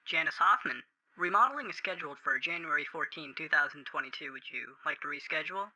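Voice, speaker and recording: A man acts out a sinister corporate operator on the phone, with a very sharp, bright, radio-like voice pitched a little high. He recites customer-service patter with cold, formal courtesy, like something not quite human in a creepypasta drama. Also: quick pace, rough recording. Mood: businesslike, polite.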